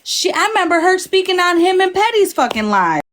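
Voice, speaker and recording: A woman's voice: very high voice, sing-song, a little fast, a bit bright. A woman chatting casually on a livestream panel, blunt and freely swearing. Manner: blunt, profane. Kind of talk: casual gossip chat.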